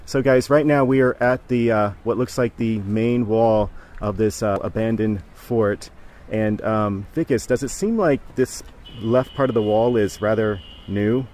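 A man's voice: fairly low pitch, steady tone, unhurried, darkish tone. A man narrating a travel vlog and chatting as he walks through a ruined fort outdoors, filming with a handheld camera. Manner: chatty, explains as he walks, laughs now and then. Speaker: travel vlogger. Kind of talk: travel narration and walking tour commentary.

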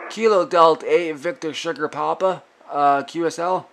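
Higher-pitched man over radio hiss. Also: fairly even intonation, normal speed, gravelly. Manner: repeats phrases. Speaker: amateur radio operator. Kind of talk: ham radio contact exchange, call signs and signal reports. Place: indoors at a radio desk. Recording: noisy room with radio static. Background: radio hiss and static.

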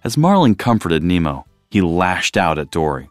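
A man reading a children's storybook aloud as narrator, in an expressive style, close to the mic. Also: deep voice, moderate pace, no room sound, soft background music.

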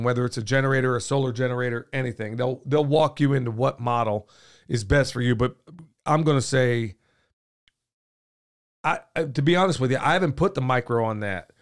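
Man, hoarse, folksy talk, podcast host, studio mic. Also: mid-range voice. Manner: folksy and talkative, says y'all, gestures a lot. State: hoarse, losing his voice. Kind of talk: casual podcast chat. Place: small podcast studio, indoors. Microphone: studio mic on boom arm, headphones on.

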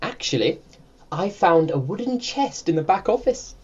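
A man's voice: sits high, big pitch swings, moderate pace, a little mellow, slightly rough, lo-fi sound, heavily acted. A man playing a naive, earnest, silly posh brother in a comic audio drama, prim and easily delighted.

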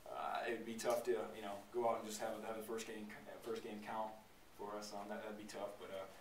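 A man's voice: fairly low pitch, little pitch movement, a little fast. A young man, a college basketball player, answering press conference questions in a rambling way with lots of "uh" and "you know". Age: young adult. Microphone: distant camera across the room. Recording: roomy, distant camcorder with room noise.

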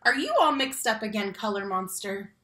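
A young adult woman reads a picture book aloud to young children, expressively, stressing key words and speaking to the listeners directly. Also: mid-range voice. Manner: animated, slow emphatic phrasing on key words, addresses children directly. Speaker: read-aloud teacher and storyteller. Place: small room at home, indoors. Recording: roomy home webcam sound.

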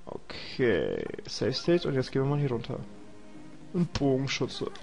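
A man's voice: medium pitch, wildly swooping pitch, unhurried, gravelly, a little mellow, soft background music. A young man with a cold, casually rambling and joking to himself while commentating a video game. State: has a cold. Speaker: let's play host.

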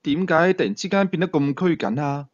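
A man with a Hong Kong Cantonese accent voicing a sharp, teasing, authoritative police team leader in an audio thriller. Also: fairly high pitch, fairly quick, dry recording, lo-fi sound, natural voice. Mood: probing, teasing.

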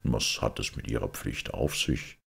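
A man plays a patient, grave priest detective in an audiobook. His voice is very deep and gravelly, and he speaks in a composed, unhurried way. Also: a bit bright, heavily acted.

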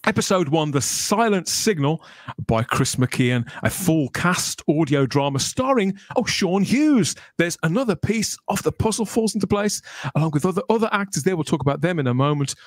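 A middle-aged man hosts a fan podcast in a chatty, enthusiastic way. His voice sits a little high and moves around a lot, with joking asides. It is recorded close and dry. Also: animated, normal speed, no echo. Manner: enthusiastic, jokey, wordy. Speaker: podcast host. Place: small room at home, on a video call. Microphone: close podcast microphone.

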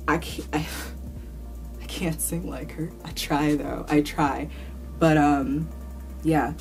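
Young woman chatting to her camera, kinda low voice, rambly and sweary, faint music under it. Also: dark tone. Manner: chatty and rambling, curses casually, many fillers and restarts. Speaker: cosplay vlogger answering viewer questions. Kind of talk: casual Q&A to camera. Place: small indoor room, plain wall.

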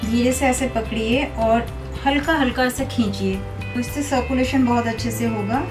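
Young woman gently explaining a hair routine. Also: on the high side, moderate pace, recorded from a distance, over music. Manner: calm, instructive, steady. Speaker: beauty vlogger. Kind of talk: step-by-step tutorial. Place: small room indoors.

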